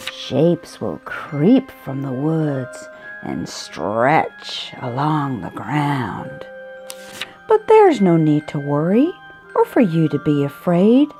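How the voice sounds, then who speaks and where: A woman reading a children's picture book aloud, expressively, with drawn-out emphasis on key words. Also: on the low side, mellow. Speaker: storybook reader. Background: music.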